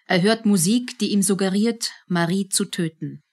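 A woman's voice: mid-range voice, normal speed, darkish tone. Female narrator, radio play, scripted storytelling read.

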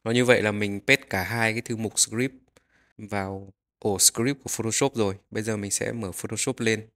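A man narrating a step-by-step software tutorial, explaining each click as he goes. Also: fairly low pitch, fairly even intonation, normal speed.

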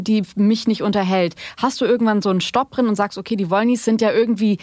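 A woman's voice: mid-range voice, no room sound, studio quality. A woman hosting a podcast, asking long, conversational interview questions.

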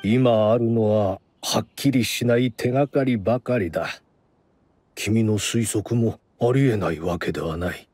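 An actor playing a courteous older gentleman host in an anime game, speaking in a polite, measured, mature manner. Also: on the low side, husky. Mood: calm, thoughtful.

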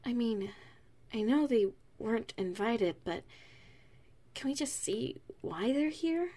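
Slow, soft-spoken affectionate wife, played by a woman. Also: fairly high pitch, animated. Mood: concerned, gentle. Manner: soft, intimate, unhurried. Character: affectionate, playful wife on a cosy night in. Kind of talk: ASMR roleplay, one side of a dialogue.

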